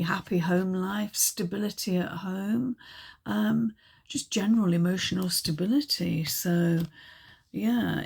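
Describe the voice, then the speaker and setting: A woman reading tarot cards in a casual, chatty way, hesitant and full of ums, her voice still croaky from a chest infection. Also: lowish voice, darkish tone. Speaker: tarot reader.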